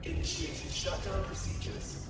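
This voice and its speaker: A man's voice: unhurried, natural voice. A man voicing a damaged artificial intelligence in a video game, speaking in fragmented, mechanical bursts. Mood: malfunctioning, urgent. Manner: fragmented, telegraphic, mechanical phrases. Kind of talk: video game character acting. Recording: echoing, distant, loud music behind.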